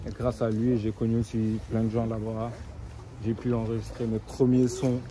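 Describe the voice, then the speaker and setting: Young rapper with loud traffic behind. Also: male voice, lowish voice, moderate pace. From Germany. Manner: relaxed slangy talk with frequent tags. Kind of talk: casual street interview. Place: city streets outdoors. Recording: loud street noise with traffic.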